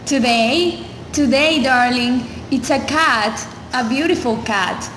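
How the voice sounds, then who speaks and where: Echoey, high-pitched, slow, doting wife played by a woman. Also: fairly bright tone. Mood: tender. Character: sweet, doting young wife of the narrator. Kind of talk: radio play acting. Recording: noisy, echoey hall-like reverb.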